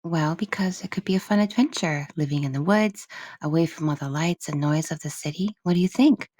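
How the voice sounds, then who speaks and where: Mother, acted by a woman, tender, reassuring, soft and soothing, audiobook character, very dry recording. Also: low-pitched, brisk, dry recording. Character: tender, reassuring young mother of a small child.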